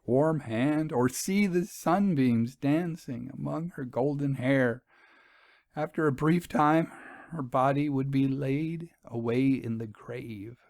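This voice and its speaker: A man's voice: medium pitch, no room sound, clean studio sound. A middle-aged man giving a dramatic reading of a gothic first-person tale, grave and sorrowful, his voice rising in anguish at its peaks. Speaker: narrator.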